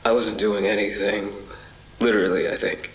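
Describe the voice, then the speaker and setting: Actor as a deep, haunted, halting man. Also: flat delivery, lightly acted. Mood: pained, struggling. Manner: halting, hushed, breathy pauses, reflective. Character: shaken, apologetic man haltingly recounting an otherworldly ordeal. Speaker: voice actor in an audio drama. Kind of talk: intimate first-person audio drama monologue. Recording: roomy echo with music bed. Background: music.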